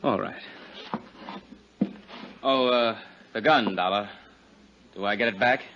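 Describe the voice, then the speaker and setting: An American man playing a gruff, jealous tough guy, blunt and suspicious, in 1950s radio drama style. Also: on the low side, slow. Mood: grudging. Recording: muffled, noisy 1950s radio recording.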